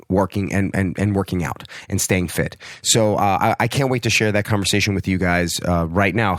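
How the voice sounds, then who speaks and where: A man with a cold and a hoarse voice, hosting his fitness podcast in a casual, rambling way full of fillers and restarts. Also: lowish voice, dry recording, studio quality. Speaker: podcast host, personal trainer.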